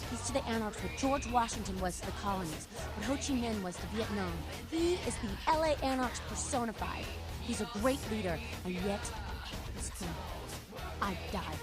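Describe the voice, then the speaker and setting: A woman's voice: mid-range voice, animated, a little fast, music in the background, lightly acted. An actress playing a hot-headed, combative female vampire gang leader in a video game, brash and sardonic. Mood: admiring. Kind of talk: video game character acting.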